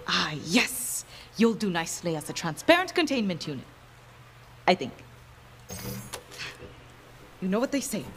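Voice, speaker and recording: A woman's voice: on the low side, very animated, measured pace, long pauses, a little hiss or hum. A woman acting in a video game as an earnest young female inventor who rambles in precise, awkward technical language. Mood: absorbed, brisk.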